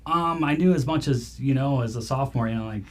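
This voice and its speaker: A man's voice: mid-range voice, fast talker, a loud crowd. A man in his late thirties, a guitarist guest in an interview, telling his story in an easygoing, modest way with lots of fillers. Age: 30s. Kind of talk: casual interview chat.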